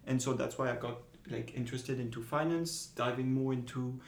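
A man in his twenties who grew up in Asia, a student guest on a podcast, talking in a relaxed, straightforward way. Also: medium pitch, a little fast, echoey room. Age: 20s. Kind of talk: casual podcast interview.

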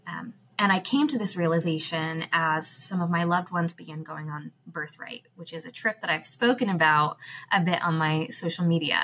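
A young woman with a slightly low voice, talking as a podcast guest. She speaks thoughtfully and pauses on fillers. There is a faint hiss behind her. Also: fairly bright tone. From United States. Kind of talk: podcast interview.

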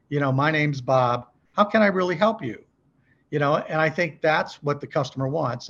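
A man with a slightly high, gravelly voice talks as a podcast guest in an emphatic coaching style. He often tags his points with quick check questions and slips into example dialogue. The audio is dull and lo-fi, and his voice has a husky edge. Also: fairly bright tone. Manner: emphatic, asks rhetorical check questions, acts out sample calls. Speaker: podcast guest, car dealership service trainer. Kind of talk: conversational coaching talk.

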